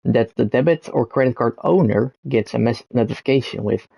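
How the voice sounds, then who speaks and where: A man's voice: mid-range voice, a little fast, creaky, mellow, slightly rough, no echo, lo-fi sound. A young man from the Netherlands with a Dutch accent, rambling to camera with many ums and self-corrections. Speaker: content creator. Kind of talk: unscripted talk to camera.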